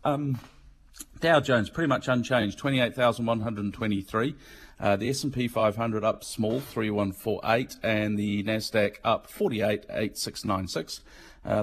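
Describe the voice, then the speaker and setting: A man's voice: fairly low pitch, measured pace. Chatty Mancunian man with faint hum. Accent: Mancunian English. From England. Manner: chatty, explains in plain words, many ums. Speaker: financial markets commentator. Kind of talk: radio business segment.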